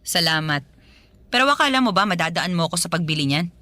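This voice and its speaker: A woman's voice: on the low side, lively intonation, quick pace. A woman playing a harsh, sarcastic Filipino mother who nags and belittles in a Tagalog radio drama. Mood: suspicious, sarcastic. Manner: sharp, sarcastic, nagging. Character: harsh, scolding, belittling mother of a teenage girl.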